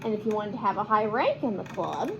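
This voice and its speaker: A woman reading a picture book aloud to children in an expressive, animated manner, with chatty asides and simple explanations. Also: medium pitch, brisk, vocal fry, highs cut off, heavy background noise. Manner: animated, acts out lines and explains words to children. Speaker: children's read-aloud host.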